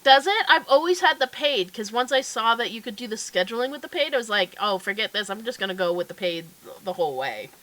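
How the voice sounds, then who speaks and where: A woman's voice: fairly high pitch, sing-song, quick pace, hardly any pauses, fairly bright tone. Stuffy-nosed woman hosting a chatty livestream. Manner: chatty, upbeat, lots of fillers. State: stuffy, nasal from a cold. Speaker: livestream host. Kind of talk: casual chat.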